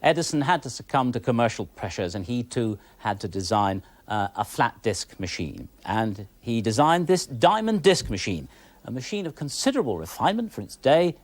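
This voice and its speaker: A man's voice: mid-range voice, sing-song, moderate pace, no room sound. A British man presenting a history programme in a genteel, wry, informally expert way. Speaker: television presenter.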